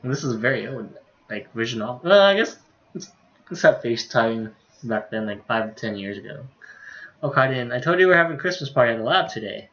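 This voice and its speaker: A man streaming a game, reading its story text aloud in a casual way. His medium-pitched voice comes through slightly dull, lo-fi audio. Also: animated, brisk, a bit bright. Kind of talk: reading visual novel dialogue aloud, casual commentary.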